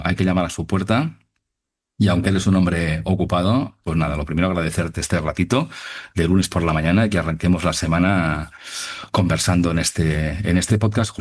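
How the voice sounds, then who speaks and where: A man hosting a podcast and interviewing in a wordy, easygoing way with frequent restarts. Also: low voice. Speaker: podcast host. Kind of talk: interviewer's casual chat.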